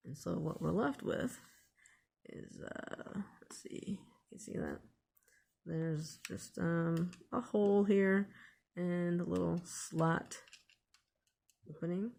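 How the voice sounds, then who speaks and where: A woman's voice: fairly low pitch, slow pace, creaky, long pauses, a little mellow. A woman hosting a casual hands-on DIY tutorial, thinking aloud in an unscripted way as she works. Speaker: DIY video host.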